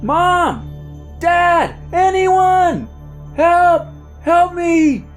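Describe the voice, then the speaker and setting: A man's voice: very high voice, extremely slow, faint music behind, pitched above the performer's own voice, exaggerated voice. A man voicing a frightened, bewildered young boy lost and panicky in an audiobook story. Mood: terrified shouting. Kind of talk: dramatic audiobook character voice.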